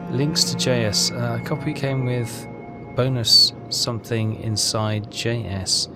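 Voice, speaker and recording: A man's voice: medium pitch, steady tone, slow pace, loud music behind the voice. A man hosting a casual game walkthrough, hesitant and rambling as he thinks aloud. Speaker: gaming video host.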